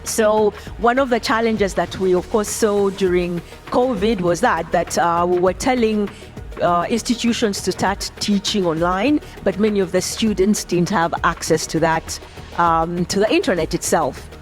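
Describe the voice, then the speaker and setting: A woman from Uganda, speaking as a panelist in a thoughtful, unhurried way with many ums and uhs. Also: mid-range voice, faint music behind. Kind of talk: panel discussion answer.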